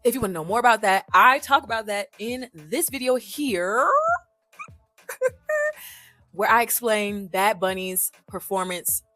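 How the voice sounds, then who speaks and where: A chatty woman talks straight to camera in a casual vlog, mixing slang and playful asides. Her voice sits in a middle pitch and swings between loud, animated bursts and soft throwaway remarks. Faint music plays underneath. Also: a little slow, long pauses, no room sound. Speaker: vlogger reviewing films for language learners.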